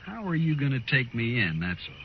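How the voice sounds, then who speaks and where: An actor playing a cocky, taunting renegade gunrunner in a 1950s radio western, mocking and folksy in manner. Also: male voice, mid-range voice, very animated, brisk. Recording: noisy, muffled old radio broadcast.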